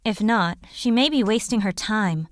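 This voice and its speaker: A woman's voice: medium pitch, normal speed, faint music behind, close to an everyday voice. A woman playing a curious, questioning adventurer in a fantasy audio drama.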